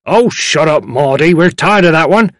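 An impatient elderly man in a storybook, acted by a male narrator, high and animated. He is bossy and insistent, pressing his point over and over. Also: moderate pace, no room sound, studio quality. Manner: insistent, repetitive. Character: impatient elderly gentleman, bossy on a guest's behalf.

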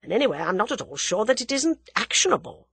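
A woman voicing a sensible, wry young English man in a dramatised audiobook, with a measured, sceptical manner. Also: medium pitch, very animated, fairly bright tone, husky, dry recording, pitched above the performer's own voice, full character voice. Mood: serious, doubtful. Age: young adult.

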